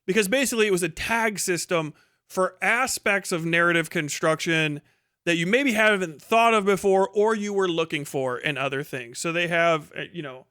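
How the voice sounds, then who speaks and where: A man's voice: high voice, moderate pace, sharp tone, clear, resonant tone, no echo, clean studio sound. A man hosting a livestream, giving rambling, emphatic and sweary opinionated commentary in casual speech. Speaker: livestream host.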